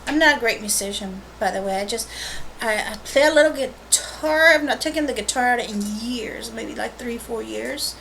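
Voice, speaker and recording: A high-pitched woman giving a casual, rambling vlog introduction as host of an art channel, recorded on a webcam microphone. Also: moderate pace, creaky, fairly bright tone, poor audio. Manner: rambling, informal asides and self-corrections. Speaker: host of a watercolor painting channel. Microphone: built-in webcam microphone. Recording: faint noise on a webcam mic.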